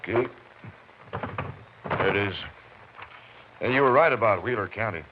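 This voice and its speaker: An American actor playing a skeptical, laconic Texas Ranger captain in a 1950s radio western, with terse questions. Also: male voice, lowish voice, normal speed, lightly acted. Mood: doubtful, gruff. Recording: muffled, noisy 1950s radio recording.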